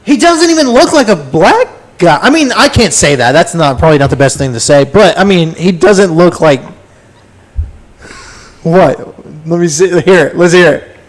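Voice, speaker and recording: A man's voice: sits high, expressive, normal speed. A man co-hosting a casual podcast, interjecting with incredulous reactions in loose banter. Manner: incredulous, interjecting, says dude often. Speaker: podcast co-host.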